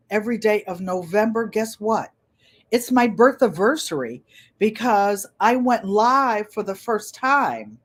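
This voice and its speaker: A woman in her seventies hosting a casual livestream, chatting in a gentle, pleasant voice and rambling as she often repeats her phrases. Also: mid-range voice. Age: 70s. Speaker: channel host.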